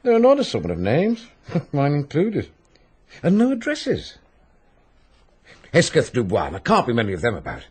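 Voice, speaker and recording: A police doctor in a radio mystery, his pitch swinging widely. He is brisk, wry and irreverent, with a slightly husky edge. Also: huge pitch swings, darkish tone, full character voice. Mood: intrigued. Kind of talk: radio drama dialogue.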